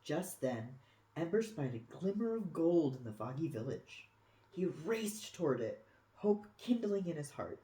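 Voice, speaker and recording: A storyteller reading a picture book aloud expressively for children, with dramatic pauses and cooing asides. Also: mid-range voice, very animated, mellow. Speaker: storybook reader. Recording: echoey room.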